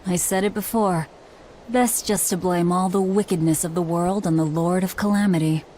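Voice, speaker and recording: Low, brooding young warrior woman, acted. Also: fairly even intonation, moderate pace, dark tone, slight background noise, close to an everyday voice. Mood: somber. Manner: terse, resolute, brooding. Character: grim, guilt-laden young woman warrior, stubborn and unapologetic. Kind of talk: dramatic video game voice acting.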